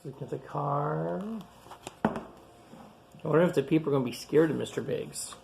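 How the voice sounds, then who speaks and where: A man's voice: fairly high pitch, vocal fry, a little mellow, slight background noise. A man hosting a storytime, reading a picture book aloud to children and stopping to comment on the pictures in simple, repetitive phrases. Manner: pauses to point out and comment on pictures. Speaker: storytime reader and host.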